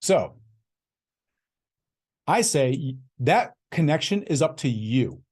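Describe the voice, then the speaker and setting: A man's voice: fairly high pitch, very animated, takes it slowly, darkish tone, husky. Blunt preachy man in his fifties. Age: 50s. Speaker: podcast host. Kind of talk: motivational podcast monologue.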